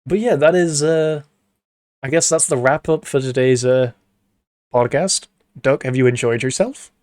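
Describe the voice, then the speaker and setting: A man hosting a relaxed podcast among friends, keeping the talk loose and laughing often between words. His voice sits at an ordinary middle pitch and sounds close and dry, with no room around it. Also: measured pace, no echo. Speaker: podcast host. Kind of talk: casual online chat. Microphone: computer mic on a voice call.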